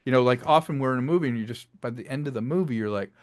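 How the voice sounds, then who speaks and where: A middle-aged man, a director talking as a guest in a casual interview, animated and fond of acting out quotes. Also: mid-range voice, rapid-fire.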